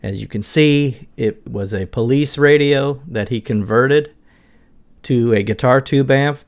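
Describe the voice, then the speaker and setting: A man's voice: medium pitch, measured pace. A middle-aged man giving a casual walkthrough as a guitar gear reviewer.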